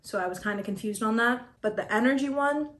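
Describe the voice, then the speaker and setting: A young woman in her twenties talking in a casual vlog, dry and deadpan, griping with stammered restarts. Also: fairly high pitch, brisk. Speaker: vlogger. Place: apartment room. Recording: echoey room, mic at a distance.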